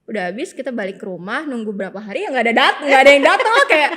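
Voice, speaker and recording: Young woman, Jakarta accent, high voice, casual podcast storytelling, animated colloquial delivery. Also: very fast. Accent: Jakarta Indonesian. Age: young adult. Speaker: podcast co-host. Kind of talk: casual chat, personal storytelling.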